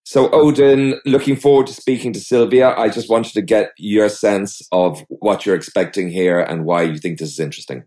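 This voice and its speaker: A man's voice: fairly low pitch, moderate pace, hardly any pauses, mellow. A man hosting a podcast, introducing the show and interviewing guests. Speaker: podcast host.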